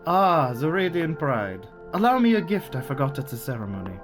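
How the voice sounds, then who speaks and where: A man's voice: on the high side, sing-song, normal speed, music in the background. A man playing a shrewd, secretive old foreign professor in a radio play, speaking formally with inverted foreign phrasing. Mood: gently evasive.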